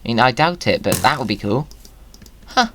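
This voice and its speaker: A man's voice: mid-range voice, expressive, normal speed, fairly bright tone, a little hiss or hum. Whimsical British man doing gaming commentary. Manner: whimsical, chatty, exclaiming. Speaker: gaming video host. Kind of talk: playful gaming commentary to viewers.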